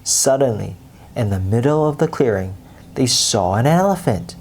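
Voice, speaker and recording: A woman's voice: rumbling low, expressive, moderate pace, darkish tone, a little hiss or hum. A woman reading a children's bedtime story aloud as storyteller.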